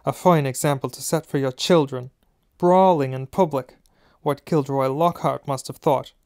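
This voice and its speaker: A man voicing a fierce middle-aged mother in a storybook, shrill and outraged as she scolds. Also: fairly even intonation, normal speed, darkish tone, no room sound, studio quality, natural voice. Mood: furious.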